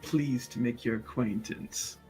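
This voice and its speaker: A man playing a flattering, theatrical gentleman scholar in a tabletop game, florid and flirtatious in his courtesy. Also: medium pitch, unhurried, soft background music, natural voice. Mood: courtly, flattering.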